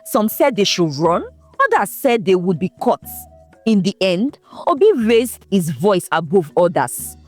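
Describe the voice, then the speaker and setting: Woman, folktale narrator, expressive, dramatic, animated pitch, faint background music. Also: a little slow. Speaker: storyteller.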